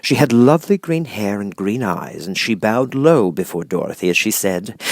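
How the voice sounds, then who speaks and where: A man with a fairly low voice narrates a children's storybook. He reads from the script in measured, clearly phrased sentences, as an audiobook narrator. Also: expressive, a little mellow, no room sound, studio quality.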